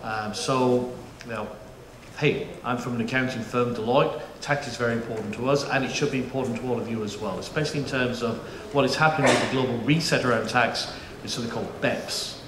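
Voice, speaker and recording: A middle-aged man a bit of in his vowels chats easily to a conference crowd, joking and restarting his sentences as he goes. His voice sits at an ordinary pitch for a man. It rings through an echoey auditorium over a faint hum of room noise. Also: a little fast. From United Kingdom. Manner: chatty, jokey, frequent restarts and fillers. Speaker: panel moderator. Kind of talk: conference presentation.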